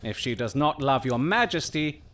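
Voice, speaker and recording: A man playing a cool, shrewd detective in an audio drama, speaking with formal, measured courtesy and dry irony. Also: mid-range voice, lively intonation, fairly bright tone, close to an everyday voice. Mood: assured, matter-of-fact. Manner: measured, formal, dryly ironic.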